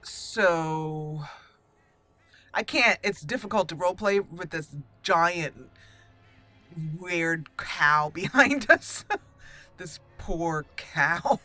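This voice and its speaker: A woman hosting a roleplay let's play, voicing dialogue deliberately and theatrically and adding her own commentary. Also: lowish voice, animated, bright, forceful tone, dry recording, soft background music. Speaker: gaming channel host.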